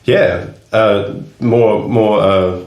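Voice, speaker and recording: A man co-hosting a podcast in casual chat, with a low voice, frank and laughing often, swearing freely. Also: fairly even intonation, drawn-out words, darkish tone, roomy sound. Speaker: podcast co-host.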